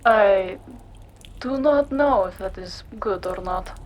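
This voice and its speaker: A woman playing a curious, cool-headed cyberpunk investigator in a noir audio drama, measured and matter-of-fact. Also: mid-range voice, slight background noise, close to an everyday voice.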